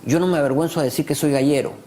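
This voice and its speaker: A man's voice: a little high, fairly even intonation, racing, warm tone. A Peruvian man with a Peruvian Spanish accent speaking as a guest on a talk show, emphatic and proud, repeating phrases to drive his points home. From Peru. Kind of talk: passionate talk show discussion.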